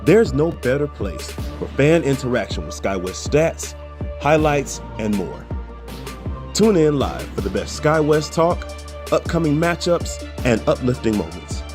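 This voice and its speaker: A man reads a polished promo for a basketball talk show, his pitch lively and moving through the lines. Clear music plays beneath him the whole time. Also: a little slow. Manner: smooth upbeat announcing. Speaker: announcer. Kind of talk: scripted promo read.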